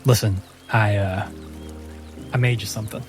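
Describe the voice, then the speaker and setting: A man's voice: fairly low pitch, fairly even intonation, moderate pace, over music, lightly acted. A man voicing a young wolf-eared beastkin man in a fantasy game, playfully affectionate, with a casual, reassuring manner. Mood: bashful.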